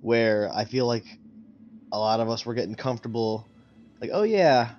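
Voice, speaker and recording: A man's voice: mid-range voice, expressive, normal speed. A man talking casually as a player in a tabletop game. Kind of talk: casual chat. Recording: dull lo-fi sound, faint noise.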